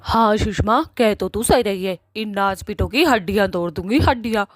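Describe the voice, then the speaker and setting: A woman's voice: mid-range voice, moderate pace, dry recording, clean studio sound, close to an everyday voice. Woman acting a bossy, scolding village witch. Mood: indignant. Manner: scolding, emphatic, repeats words for menace. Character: angry, foul-mouthed village witch, bossy and threatening. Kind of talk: Hindi cartoon horror-comedy acting.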